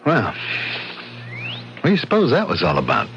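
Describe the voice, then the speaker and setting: A man's voice: medium pitch, a little slow, vocal fry, faint music behind, full character voice. A man acting in a radio drama as a skeptical, stubborn new ranch owner and husband, chatty and argumentative. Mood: uneasy. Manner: animated, argumentative. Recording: noisy, dull old radio recording.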